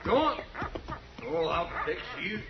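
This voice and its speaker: Mean western drifter, slow american drawl, defiant, muffled fifties radio show. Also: male voice, sits high, sing-song, vocal fry, full character voice. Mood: irritated. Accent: American, rural western. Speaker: supporting actor in a radio western. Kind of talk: 1950s radio drama acting. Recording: dull, noisy 1950s radio recording.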